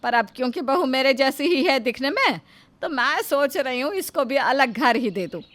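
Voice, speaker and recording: A woman voicing a greedy, sharp-tongued middle-aged mother-in-law in a story, loud and dismissive. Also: high-pitched, animated, normal speed, no echo, pitched above the performer's own voice. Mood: scheming.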